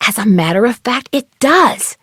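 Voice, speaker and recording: A woman's voice: fairly high pitch, big pitch swings, normal speed, a bit bright, husky, no echo, clean studio sound, heavily acted. A woman voicing a young amateur detective in a mystery game, polite and level-headed, telling things in an articulate, composed way. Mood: chatty, explaining. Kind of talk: scripted mystery game voice acting.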